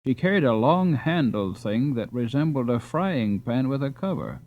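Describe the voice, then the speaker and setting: A man's voice: mid-range voice, sing-song, moderate pace. A man reading a children's novel aloud as a scripted audiobook narration. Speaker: audiobook reader. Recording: slightly dull, dry recording.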